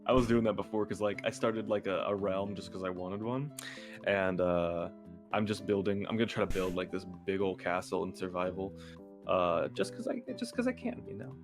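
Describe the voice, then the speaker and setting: A man's voice: sits low, animated, normal speed, music in the background. A man chatting casually as a guest on a livestream, a bit hesitant, rambling and self-effacing. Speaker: streamer guest and voice actor.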